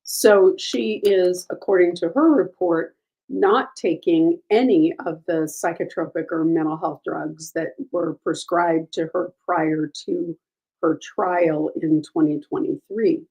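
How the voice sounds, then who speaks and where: Hoarse woman with a gravelly voice. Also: on the low side, steady tone, slow pace, warm tone, poor audio. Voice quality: gravelly, froggy voice. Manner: careful, with many ums and uhs. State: hoarse, recovering from a cold. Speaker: true crime show host. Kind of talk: casual case update.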